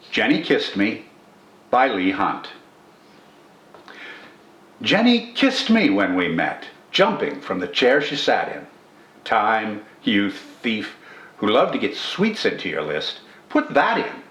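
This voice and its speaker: An older man reading a scripted literary commentary and reciting verse as host, in a measured, formal and expressive manner with deliberate phrasing. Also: on the low side. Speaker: poetry channel host and reader. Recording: roomy indoor echo.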